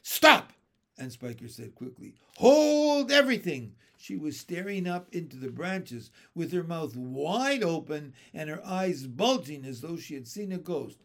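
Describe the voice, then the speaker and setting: A man voicing a grim, tall and bony old aunt who is harsh and bossy, with a shrill, shouting voice. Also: expressive, a little slow, few pauses. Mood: alarmed.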